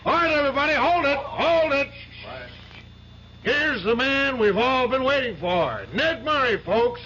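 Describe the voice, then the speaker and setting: A man playing a hearty older law-firm boss hosting a party, booming and jovial, in a radio drama. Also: lively intonation, a little slow, pushed and bright, highs cut off, a little hiss or hum, exaggerated voice. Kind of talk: 1970s radio drama acting. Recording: party crowd chatter behind.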